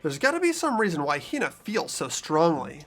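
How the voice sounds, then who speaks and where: A man narrates a game's story and the hero's thoughts with an animated, swinging voice. Light music hums under him. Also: fairly high pitch, moderate pace. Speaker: narrator and player. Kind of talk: reading game narration.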